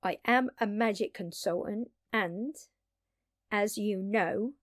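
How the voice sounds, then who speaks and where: A woman playing an earnest, blunt, long-lived vampire in an intimate audio drama, speaking haltingly and pleadingly with dramatic pauses. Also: mid-range voice, dry recording, studio quality, natural voice. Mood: earnest, persuasive. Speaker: voice actor.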